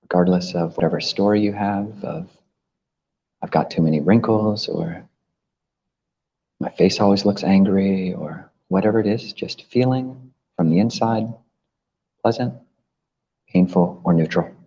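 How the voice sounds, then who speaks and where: A man leading a guided meditation as a teacher, gently instructing with long pauses between phrases. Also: sits low, husky. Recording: muffled, long reverberant hall echo.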